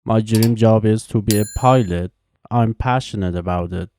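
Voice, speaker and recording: Careful, mellow man. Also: fairly low pitch, a little hiss or hum. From Iran. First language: Farsi. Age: 20s. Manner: slow, careful, evenly paced delivery with pauses between answers. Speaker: language teacher. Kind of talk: reading scripted practice answers.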